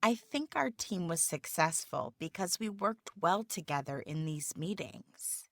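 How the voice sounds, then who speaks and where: A woman playing a job candidate in a scripted practice interview for English learners, speaking slowly and carefully. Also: fairly low pitch, lively intonation, fairly bright tone, no echo, studio quality.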